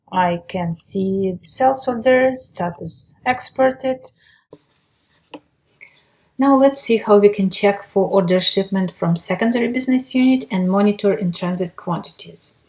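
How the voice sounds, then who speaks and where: Female software trainer, mid-range voice, methodical step-by-step explanatory delivery for a webinar demo. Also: medium pitch.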